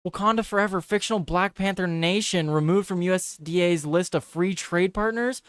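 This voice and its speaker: A man's voice: sits high, moderate pace, a bit bright, smooth, no echo, studio quality. Chatty young man hosting a livestream. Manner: chatty and reactive, with swearing and repeated words. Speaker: livestream host. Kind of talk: casual live news quiz chat.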